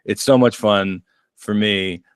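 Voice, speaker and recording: A man's voice: on the low side, fairly even intonation, slow pace, clean tone, no room sound, studio quality. A middle-aged man, a comedian co-hosting a podcast, chatting casually and rambling in a self-deprecating way. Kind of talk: casual chat.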